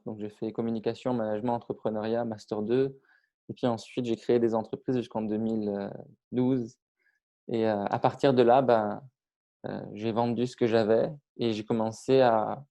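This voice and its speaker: A man's voice: mid-range voice, warm tone. A middle-aged man from Iran, a public speaking trainer, talking casually with many hesitations and restarts. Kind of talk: casual interview on video call.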